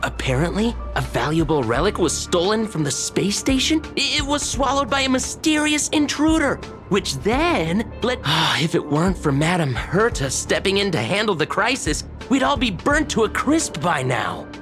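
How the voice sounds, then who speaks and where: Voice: mid-range voice, big pitch swings, normal speed, hardly any pauses, a bit bright, soft background music. An actor playing a condescending, teasing research colleague in a video game, chatty and scoffing with exaggerated delivery. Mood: gossipy, mocking. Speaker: researcher character. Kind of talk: animated video game dialogue.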